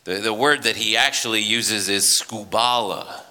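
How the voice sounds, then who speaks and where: A man's voice: on the low side, a little slow, pushed and bright. A man preaching a sermon in a conversational, storytelling way, often restarting his sentences. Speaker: pastor. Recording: reverberant hall.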